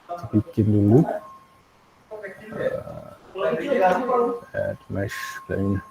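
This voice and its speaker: A man with an Indonesian accent teaching an animation software tutorial, thinking aloud in a halting way with frequent pauses. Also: fairly low pitch, level tone, background noise. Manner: halting, pausing often, frequent throat clearing. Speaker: animation instructor.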